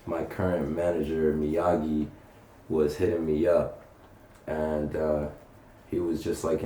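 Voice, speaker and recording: A young man from North Carolina chatting casually as an interviewed rapper, slangy, profane and halting. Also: extremely low pitch, warm tone. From North Carolina, United States. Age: young adult. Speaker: punk rapper being interviewed. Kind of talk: casual chat. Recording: roomy echo, faint background noise.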